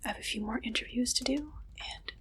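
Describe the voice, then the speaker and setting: A woman performing an ASMR roleplay as an interviewer, speaking directly to the listener in a soft, breathy, playful whisper. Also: a little high, a little fast.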